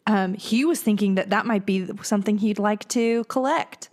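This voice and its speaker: A woman's voice: medium pitch, normal speed, a little mellow. A woman co-hosting a podcast, chatting informally and chiming in with short reactions. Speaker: podcast co-host. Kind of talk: casual chat.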